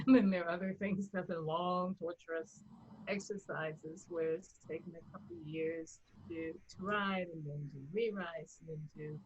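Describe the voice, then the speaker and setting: A woman being interviewed as a writer and director, speaking reflectively and haltingly, rephrasing as she goes and often breaking into laughter. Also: on the low side, background noise. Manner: laughs readily while talking.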